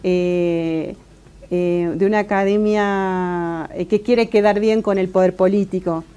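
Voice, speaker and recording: Hesitant Argentine woman with a mellow voice. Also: mid-range voice, steady tone, slow, clear, resonant tone. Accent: Argentine Spanish. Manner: thoughtful, many hesitations and restarts. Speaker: interviewee, political scientist. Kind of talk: conversational interview answers.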